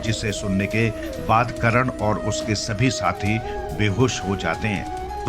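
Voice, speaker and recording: A man narrating a scripted fantasy story for children as its storyteller. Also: on the low side. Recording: clear music bed under the voice. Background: background music.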